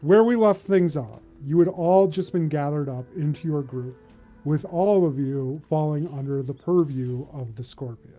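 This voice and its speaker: A man's voice: on the high side, normal speed, warm tone, soft background music. A man hosting and narrating a live tabletop game as game master in a casual way. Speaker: game master and stream host.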